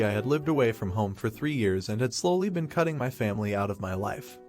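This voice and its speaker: A male narrator reads a first-person online story at a rapid pace. His pitch sits in an ordinary middle range, and faint background music runs beneath his voice. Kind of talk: reading a reddit story aloud.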